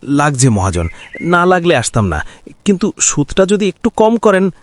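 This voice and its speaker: A desperate pleading farmer. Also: male voice, fairly high pitch, wildly swooping pitch, normal speed. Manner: pleading, alarmed.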